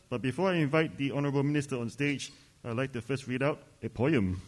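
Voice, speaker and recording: A man makes formal announcements as master of ceremonies at an event. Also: mid-range voice. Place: indoor conference ballroom.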